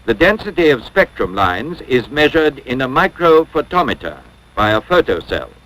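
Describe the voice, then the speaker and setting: Male narrator, 1950s instructional film: scripted, formal and measured, mid-pitched with animated intonation. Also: low-quality recording. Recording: 1950s educational film soundtrack.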